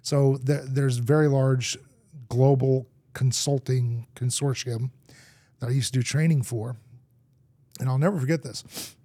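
A man who grew up in Argentina, a podcast guest telling lively stories in conversation. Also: mid-range voice, unhurried, no room sound. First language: English. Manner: lively storyteller acting out anecdotes. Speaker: guest, marketing executive.

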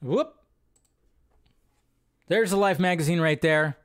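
Casting: male film news host, slightly high-pitched voice, off-the-cuff and rambling, mildly profane. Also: takes it slowly, clean tone. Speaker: host of a live film and comics news show. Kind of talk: casual unscripted commentary on film news.

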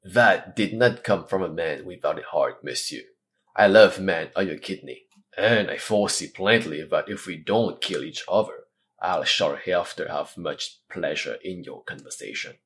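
A man's voice: low voice, moderate pace, fairly bright tone. A man reading the part of a proud, wounded noble swordsman, in a formal and courtly manner. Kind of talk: audiobook character reading.

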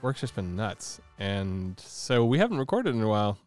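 A man's voice: fairly low pitch, very animated, moderate pace, darkish tone, faint music behind. A man co-hosting a casual podcast, sick with a stuffy nose, rambling with lots of fillers and laughing often. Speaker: podcast co-host.

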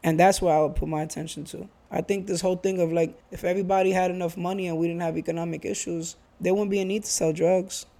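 Earnest New man, bright pitch. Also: little pitch movement, quick pace, vocal fry, hardly any pauses. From Bronx, New York. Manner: earnest, restarts and repeats phrases. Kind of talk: casual chat.

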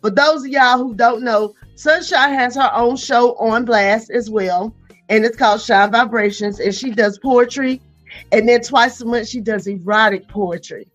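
A woman in her fifties with a high voice hosts a casual comedy podcast. She tells stories bluntly and playfully, full of slang. Music plays underneath her talk. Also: measured pace, lo-fi sound. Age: 50s.

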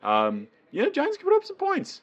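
A man's voice: very high voice, huge pitch swings, normal speed, dull audio. A man with a New England accent co-hosting a casual sports podcast, chatty and laughing often. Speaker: podcast co-host.

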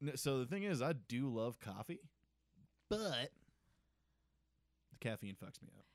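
A man's voice: medium pitch, big pitch swings, measured pace, no echo. A young man co-hosting a casual podcast, talking loosely, swearing a lot and laughing often. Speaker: podcast co-host. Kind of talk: casual chat.

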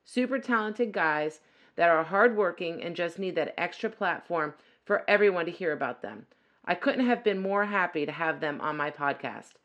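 A woman's voice: on the low side, animated, moderate pace, fairly bright tone, dull audio. Upbeat woman hosting a podcast. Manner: upbeat, chatty, laughs often. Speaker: podcast host. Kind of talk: podcast interview with intro narration.

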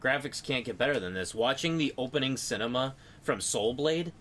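A man's voice: mid-range voice, animated, normal speed, a bit bright. A man co-hosting a podcast, talking casually about video games. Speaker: podcast host. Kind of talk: casual gaming chat. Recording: faint ambient noise behind voice.

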